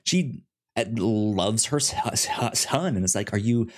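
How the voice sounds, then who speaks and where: A man's voice: fairly low pitch, animated, unhurried. A man co-hosting a podcast in casual chat, often stammering and repeating words as he talks. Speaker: podcast co-host. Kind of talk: casual chat about a comic series.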